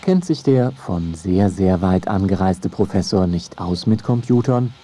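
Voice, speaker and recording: A man's voice: sits low, expressive, a little slow, mellow. A man narrating a scripted radio feature in a wry storytelling way.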